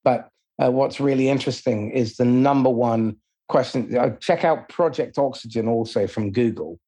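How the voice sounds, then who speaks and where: A man's voice: mid-range voice, moderate pace, mellow, husky. A man hosting a conversational interview, asking questions and explaining his own approach.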